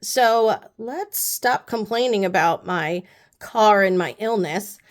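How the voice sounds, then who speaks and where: A woman's voice: medium pitch, slow. A woman vlogger, sick with the flu, chatting casually and rambling with lots of fillers while unboxing a haul. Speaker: beauty vlogger.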